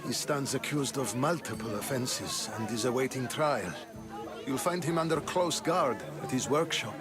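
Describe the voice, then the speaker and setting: Casting: male actor as authoritative, guarded Athenian leader for a game, medium pitch with expressive swings, loud music behind. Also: crowd noise, close to an everyday voice. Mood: grave. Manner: formal, deliberate, authoritative. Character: measured, commanding male statesman of ancient Athens, cautious. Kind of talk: video game voice acting.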